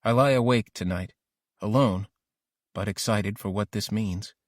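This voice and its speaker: A man's voice: on the low side, measured pace, dry recording, clean studio sound, lightly acted. A man narrating an audiobook diary as an ambitious, lovesick teenage boy, introspective and intense. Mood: brooding. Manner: introspective, self-questioning, intense.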